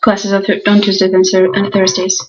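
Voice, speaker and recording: Earnest young woman, halting restarts. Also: mid-range voice, monotone, husky, dull audio. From Ukraine. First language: Russian. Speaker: college student. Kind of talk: reading a class presentation.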